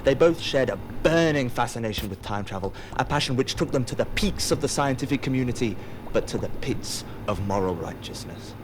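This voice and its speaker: A man's voice: mid-range voice, animated, a little fast, background noise. A man giving scripted audio drama narration in a wry, theatrical manner.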